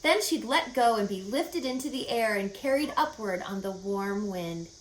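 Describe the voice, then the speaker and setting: A woman voicing a kindly, bossy, quick-witted female spider in a children's storybook, articulate and telling tales with relish. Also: medium pitch, animated, echoey room, a little hiss or hum, lightly acted, low-quality recording. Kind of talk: children's storybook read-aloud.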